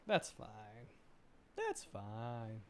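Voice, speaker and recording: A man's voice: lowish voice, huge pitch swings, very slow, a little hiss or hum. A man streaming a video game, giving casual, amused commentary and exclaiming at what he sees.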